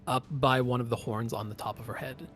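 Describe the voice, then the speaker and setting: A man running a tabletop game as game master, narrating the action casually and thinking aloud in halting phrases. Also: medium pitch, steady tone, fairly bright tone, faint music behind.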